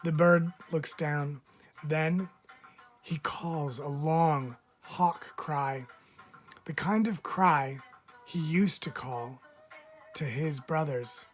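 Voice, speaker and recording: A man reading a picture book aloud as a teacher would, expressively, with deliberate dramatic phrasing and frequent pauses. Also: fairly high pitch. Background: background music.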